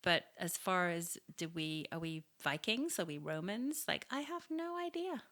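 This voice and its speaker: A middle-aged woman from England with an English accent, chatting casually as a podcast guest, quick with asides and laughing easily. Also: on the low side, lively intonation, a bit bright. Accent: English, West Midlands. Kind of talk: casual chat. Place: Pittsburgh.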